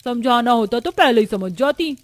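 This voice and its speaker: A woman's voice: fairly high pitch, animated, moderate pace, natural voice. A woman voicing a harsh, moralising middle-aged temple-goer in a cartoon, scolding and threatening. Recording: music under the voice.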